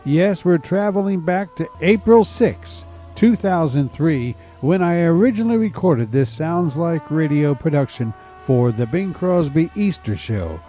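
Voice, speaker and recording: A man's voice: fairly high pitch, animated, a little slow, few pauses, dark tone, faint music behind. A man hosting a radio show, introducing it in a jovial, folksy, mock-formal way to his listeners. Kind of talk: folksy scripted show introduction over music.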